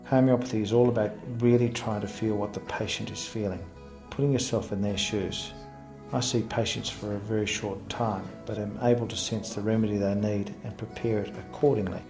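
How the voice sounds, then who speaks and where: A man talks about his own practice in a first-person promotional style. His voice sits fairly low, with music clearly playing behind it. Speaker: homeopathy practitioner.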